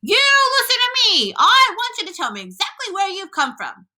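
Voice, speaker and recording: Storybook police chief, played by a woman: blustering, alarmed, commanding, forceful and animated. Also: fairly high pitch, measured pace, pitched above the performer's own voice, exaggerated voice. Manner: shouting, commanding.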